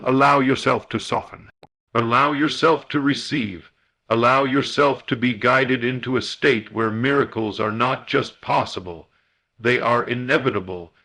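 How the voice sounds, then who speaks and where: A man's voice: medium pitch, a bit bright. Man narrating a solemn motivational meditation.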